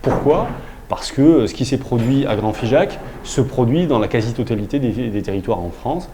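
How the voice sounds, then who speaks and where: A man, a local official, explaining in a public presentation style with halting, pause-filled delivery. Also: medium pitch, expressive, background noise.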